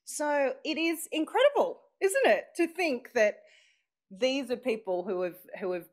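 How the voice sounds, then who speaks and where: An Australian woman is emceeing a live event, and her pitch swings widely as she talks. She sounds chatty and playful, and speaks straight to the room as she draws the crowd in. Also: very animated, a little slow. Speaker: master of ceremonies at an event launch. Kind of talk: live speech to an audience. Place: function room with a live audience.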